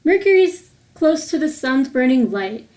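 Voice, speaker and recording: A woman with a high-pitched voice reads a children's picture book aloud. She takes her time, with a slow, sing-song delivery that sets out each rhyming phrase deliberately. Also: very animated, a little mellow. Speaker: host of a children's read-aloud series.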